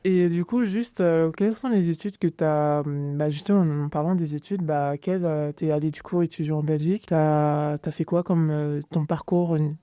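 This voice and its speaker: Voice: medium pitch, fairly even intonation, normal speed, hardly any pauses, mellow, clear, resonant tone, no echo. A host asking casual interview questions in a chatty way, full of fillers.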